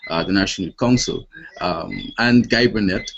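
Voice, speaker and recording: A man in his late twenties with a Guyanese accent speaks formally and deliberately as a panelist in an online discussion, stressing points rhetorically. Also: low-pitched. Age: late 20s. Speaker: youth organisation panelist. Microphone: online video call. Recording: dull lo-fi web call, clear noise.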